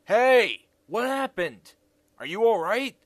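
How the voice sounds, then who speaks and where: A man's voice: high-pitched, big pitch swings, slow, no echo, studio quality, full character voice. A man voicing a rugged, casual smoker with a wry, weary manner in an amateur visual novel dub. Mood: concerned, loud. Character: calm rugged man who smokes, Yomogi Seiji. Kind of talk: anime visual novel fandub acting.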